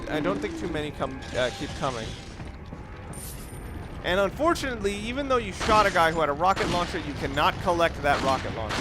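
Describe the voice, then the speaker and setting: Higher-voiced man commentating over noisy gameplay. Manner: rambling, instructive, self-correcting. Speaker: gamer giving a let's play commentary. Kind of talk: off-the-cuff video game walkthrough commentary. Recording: noisy, game gunfire behind. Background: video game ambience and gunfire.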